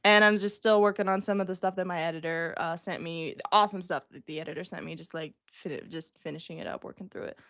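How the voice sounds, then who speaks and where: A woman's voice: lowish voice, fairly even intonation, fast talker, few pauses, a bit bright, no room sound, clean studio sound. A woman writer chatting casually on a livestream, talkative and self-deprecating, with a giggly way of speaking. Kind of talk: casual chat.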